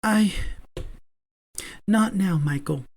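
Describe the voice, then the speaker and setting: A man voicing a shy, gentle young man who speaks softly and hesitantly, close to tears. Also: sits high, drawn-out words, slight background noise, voice pushed up, full character voice. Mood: tearful, hesitant. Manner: soft, hesitant, shaky.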